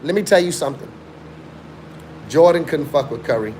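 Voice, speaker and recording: A man's voice: a little high, lively intonation, moderate pace, darkish tone, slight background noise. A young man from Chicago, raised in South Africa, hosting a casual live stream and arguing his point with repetitive, slangy, emphatic talk. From born in Chicago, raised in South Africa. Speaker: livestream host.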